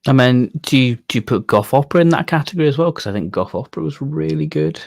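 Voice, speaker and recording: A man with a medium-pitched voice chats informally as co-host of a fan show. He offers opinions conversationally, and the recording is dry, with very little room sound. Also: expressive, fast talker, creaky, dry recording. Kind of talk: casual chat.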